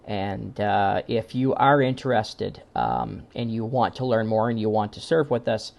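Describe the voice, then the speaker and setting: A man's voice: fairly low pitch, steady tone, a little slow, gravelly, a little mellow. A man giving an informal recorded presentation in an earnest, wordy way with formal phrasing. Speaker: conference presenter, amateur radio exam team founder.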